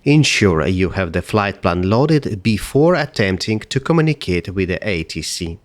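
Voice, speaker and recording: Guy doing a step by step software walkthrough, lowish voice, lots of hesitating, dry close sound. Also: very animated, dry recording. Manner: halting delivery with frequent hesitations. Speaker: software tutorial presenter. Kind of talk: step-by-step tutorial narration.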